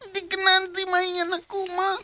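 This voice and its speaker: A woman's voice: extremely high pitch, completely flat delivery, unhurried, pushed and bright, pitched above the performer's own voice, full character voice. A woman voicing a five-year-old boy who protests to his mother in an amateur radio drama. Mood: protesting. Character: young boy, five, pleading with mom.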